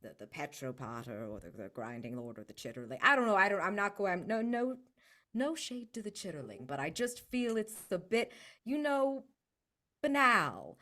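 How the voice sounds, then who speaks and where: A man's voice: high-pitched, animated, normal speed, bright, forceful tone, pitched above the performer's own voice, exaggerated voice. A man voicing a sincere, nostalgic devotee of sacrifices in a tabletop game, musing effusively in a conversational way. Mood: nostalgic, fervent.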